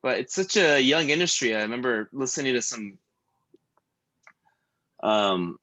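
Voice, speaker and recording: A man in his thirties leading a stretch class, joking between calm breathing cues. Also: medium pitch, unhurried. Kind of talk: guided stretching class with casual chat.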